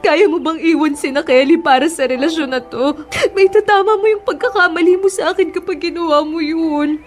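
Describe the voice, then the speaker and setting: A very high-pitched young mistress in a radio love drama. She is heartbroken and clinging, pleading emotionally over a music bed. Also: brisk. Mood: desperate coaxing. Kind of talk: Filipino radio love-story drama acting.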